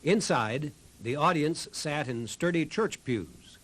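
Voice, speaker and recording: A man's voice: fairly high pitch, expressive, takes it slowly, a bit bright. A man reading a scripted historical documentary narration. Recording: narration over background music. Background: music.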